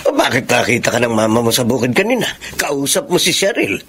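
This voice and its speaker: Stern scolding dad, radio drama. Medium pitch, moralizing, angry; faint background noise. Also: male voice, sing-song, brisk, fairly bright tone. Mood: accusing. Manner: admonishing, moralizing. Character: stern, angry father scolding his grown son. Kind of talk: Filipino radio drama acting.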